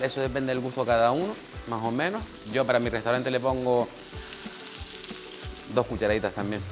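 A man, a chef teaching a cooking class in a small kitchen and guiding an assistant step by step. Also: mid-range voice. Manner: practical, directs an assistant at his side. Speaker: chef giving a cooking demonstration.

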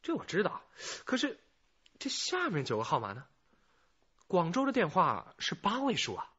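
An actor playing a keen, insistent junior police detective in a radio drama. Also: male voice, high-pitched, wildly swooping pitch, normal speed, pushed and bright, slightly rough, heavily acted. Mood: puzzled, keen. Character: keen junior male detective puzzling over a clue. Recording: dull, muffled lo-fi audio.

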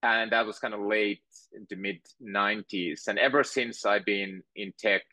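A man, telling his story in a measured, thoughtful way as a podcast guest on a video call. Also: on the low side, steady tone, pushed and bright, no room sound. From Finland. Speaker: guest entrepreneur. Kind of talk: interview conversation. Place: home room with bookshelves.

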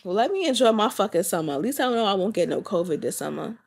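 A woman's voice: medium pitch, big pitch swings, fast talker, darkish tone, breathy edge. A young woman, congested from covid, chatting bluntly and swearing freely in a casual vlog. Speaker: vlogger.

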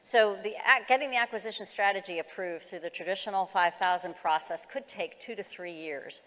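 A woman's voice: medium pitch, a little fast, pushed and bright. A middle-aged American woman giving an explanatory, semi-formal keynote presentation at a conference. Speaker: keynote speaker, government executive. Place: large conference hall, on stage. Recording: reverberant hall sound.

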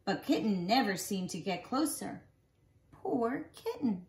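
A woman in her forties reads a children's picture book aloud in an expressive way, drawing out key words for young listeners. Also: medium pitch. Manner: animated storytelling for young children, drawn-out emphasized words. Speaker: nursery school teacher reading bedtime stories. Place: small living room at home, indoors. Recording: roomy home recording.

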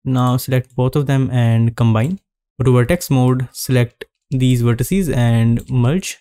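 Slow, calm man walking through a tutorial. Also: mid-range voice, clean tone, dry recording, studio quality. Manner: calm step-by-step instructions with frequent "uh" pauses. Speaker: 3D modeling tutor. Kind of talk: screen-recorded software tutorial narration.

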